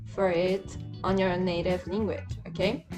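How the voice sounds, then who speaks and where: Young woman, English, slow and halting, tutorial over background music. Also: on the low side, little pitch movement. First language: Portuguese. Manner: hesitant, many fillers and restarts, says "okay?" often. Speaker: language learner vlogger. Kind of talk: casual screen-share tutorial.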